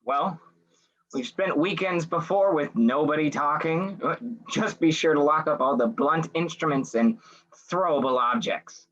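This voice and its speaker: High-pitched, scornful playwright played by a man, slightly muffled. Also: unhurried, a bit bright. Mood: dry humor. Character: indignant, scornful, sardonic theatre playwright. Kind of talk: radio play acting. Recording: slightly dull, lo-fi sound.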